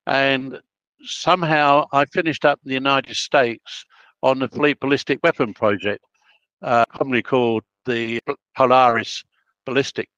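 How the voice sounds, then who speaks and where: A man's voice: medium pitch, steady tone, moderate pace, a bit bright, no room sound, lo-fi sound. Older Australian man telling rambling stories. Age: 80s. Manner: rambling, anecdotal, self-interrupting. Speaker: guest, project planner. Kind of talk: casual interview storytelling.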